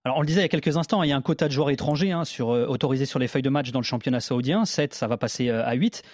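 A man's voice: medium pitch, very fast, darkish tone, no echo, studio quality. A man asking questions as a sports interviewer in a broadcast interview.

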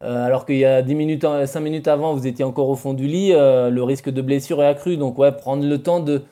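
A man speaks at a middle pitch with a dark, mellow tone. He gives practical advice as a running coach, pausing on fillers as he talks. It is relaxed studio chat. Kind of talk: radio discussion.

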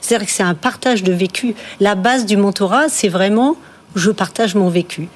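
A woman speaking as an interview guest, explaining mentoring in a steady, clear way. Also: medium pitch, moderate pace.

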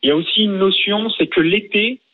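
A young caller from eastern France comes through a thin, tinny phone line. His voice sits a little high, and he talks hesitantly, stopping often for fillers. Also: brisk. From France, Saône-et-Loire. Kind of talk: phone-in chat about living abroad.

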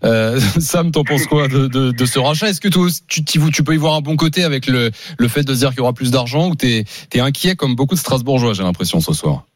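A man's voice: medium pitch, animated, fairly quick, hardly any pauses, no room sound, studio quality. A man hosting a live sports radio show in a casual, informal style, with stop-and-start questions and a teasing touch. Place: dry radio studio.

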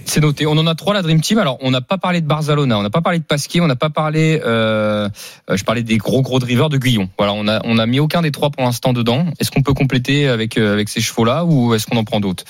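Brisk male radio host. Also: medium pitch, no room sound. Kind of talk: radio show hosting.

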